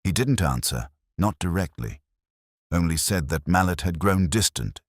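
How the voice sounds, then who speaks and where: Man, very deep voice, dry studio sound, weary detective narrator, brooding, measured, scripted mystery story. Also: husky, dry recording. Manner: measured, brooding, literary delivery in short clipped phrases. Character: weary, introspective male detective narrating his case.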